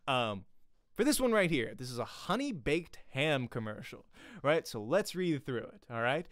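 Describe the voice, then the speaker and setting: A man's voice: medium pitch, clear, resonant tone, no room sound. A man in his thirties giving a casual, chatty acting lesson into a close studio microphone, repeating key questions for emphasis. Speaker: acting teacher and voice actor. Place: small home room, indoors. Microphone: close studio microphone with pop filter.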